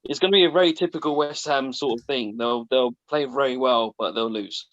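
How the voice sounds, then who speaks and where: A man giving a quick football prediction, brisk and confident. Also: medium pitch, fairly bright tone, dry recording, dull audio. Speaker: guest pundit. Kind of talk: casual football chat.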